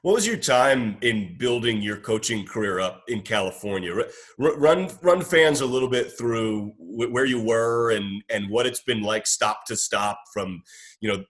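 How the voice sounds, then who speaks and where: A man's voice: lowish voice, lively intonation, moderate pace, fairly bright tone. An American man hosting a casual sports chat, asking questions in a rambling, conversational way. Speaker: interviewer, host.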